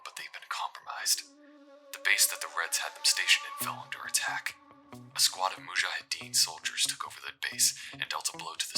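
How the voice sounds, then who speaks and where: A man playing a secretive, measured military officer giving a loyal, deliberate mission briefing in a cinematic audio roleplay. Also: sits low, creaky. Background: music.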